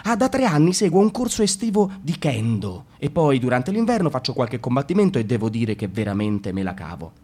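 A man's voice: medium pitch, very animated, brisk, few pauses, warm tone. A man playing a cocky, self-destructive young slacker in a radio drama, rambling and interrupting himself as he confesses. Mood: rambling, detached. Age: young adult. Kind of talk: theatrical radio drama monologue.

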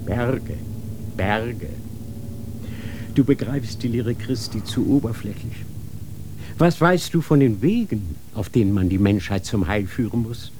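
An actor playing a cold, stern inquisitor who lectures with rhetorical questions. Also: male voice, fairly low pitch, animated, measured pace, noisy background. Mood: severe. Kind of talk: radio drama acting.

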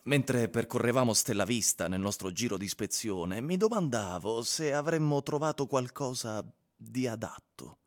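An actor playing a wry husband who tells his house-hunting story as measured first-person narration in a radio drama. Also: medium pitch, animated, lightly acted. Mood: wry, reflective.

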